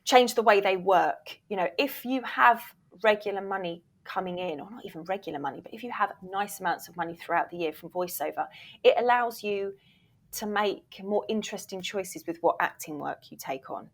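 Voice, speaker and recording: A woman's voice: medium pitch, brisk. A woman giving candid, emphatic answers as a guest in a conversational interview. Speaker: podcast guest, voiceover reel producer and former agent.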